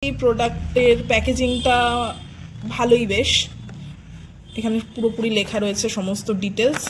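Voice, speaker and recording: A woman's voice: on the high side, steady tone, normal speed, low-quality recording. A woman talks casually to her viewers. She explains step by step as a vlog host, dropping in English words. Faint room noise sits behind her. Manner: explanatory, addressing viewers directly. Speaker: vlog host and product reviewer. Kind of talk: casual product review chat mixing in English words.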